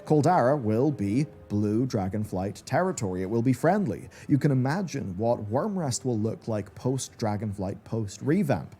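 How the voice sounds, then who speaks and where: Mellow man narrating over music. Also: mid-range voice, sing-song, normal speed, few pauses. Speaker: video essayist. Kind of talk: scripted commentary narration.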